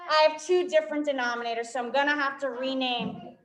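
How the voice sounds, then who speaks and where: High-voiced New York teacher. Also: female voice, steady tone, quick pace, a bit bright, reverberant, poor audio. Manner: quizzes students and works problems aloud. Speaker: fifth-grade math teacher. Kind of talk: live classroom math lesson. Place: classroom.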